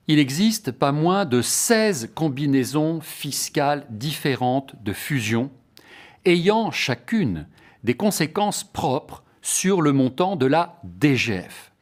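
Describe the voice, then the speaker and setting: A man delivering a scripted explanatory lecture in a formal, didactic way, stressing each point as he lists it. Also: fairly high pitch. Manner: formal, didactic, emphatic enumeration.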